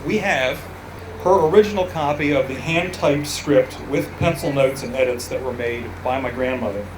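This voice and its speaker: Man, event host, relaxed talk, roomy echo, background noise. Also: mid-range voice, moderate pace. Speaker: family member presenting the event. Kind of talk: speaking to a live audience. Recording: echoey noisy space.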